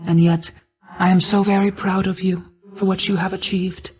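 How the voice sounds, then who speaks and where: A woman's voice: medium pitch, level tone, darkish tone, breathy edge, natural voice. Woman as a solemn, regal night goddess. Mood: proud, measured. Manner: formal, measured, restrained, solemn. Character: ancient, regal, stern motherly goddess of night. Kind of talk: scripted video game voice acting.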